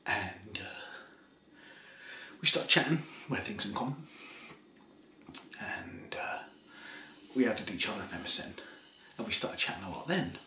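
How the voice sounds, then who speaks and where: A man of forty telling a story in a casual, soft-spoken way, rambling and laughing at himself, seated in a small kitchen in front of a camera. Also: fairly low pitch, animated, a little slow, pauses often, reverberant, a little hiss or hum. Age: 40s. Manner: rambling, laughs often, self-mocking. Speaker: ASMR creator.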